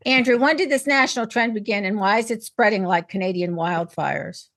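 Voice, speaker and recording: A woman's voice: medium pitch, brisk, fairly bright tone. A woman hosting a panel talk show, delivering commentary and discussion in an opinionated, emphatic way.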